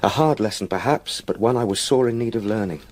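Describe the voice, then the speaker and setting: Actor as an earnest young English gentleman. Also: lowish voice, a little fast, close to an everyday voice. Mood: reflective, earnest. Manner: polite, formal, earnest. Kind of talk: period radio drama acting.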